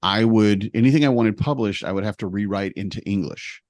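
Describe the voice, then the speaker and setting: A man telling a travel story in casual conversation, animated and poking fun at himself. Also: on the low side, brisk, no echo, studio quality. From United States. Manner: animated, self-deprecating, humorous storyteller.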